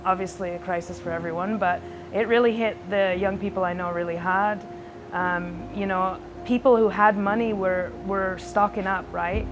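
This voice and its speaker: A woman's voice: mid-range voice, steady tone, normal speed, noisy background. A woman in a conversational documentary interview, speaking earnestly and often tagging her points with a question. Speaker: youth homelessness worker.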